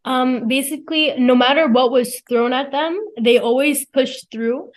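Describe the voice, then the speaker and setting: Higher-pitched teenage girl, plain-spoken. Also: measured pace. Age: teens, high school senior. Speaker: student interview guest. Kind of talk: informal interview answer.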